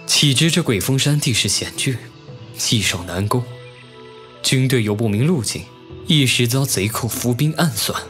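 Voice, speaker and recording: An actor playing a proud, teasing and wistful young nobleman officer in an ancient-costume audio drama, with measured classical phrasing. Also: medium pitch, lively intonation, fairly bright tone, faint music behind, lightly acted. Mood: grim, recounting. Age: young adult.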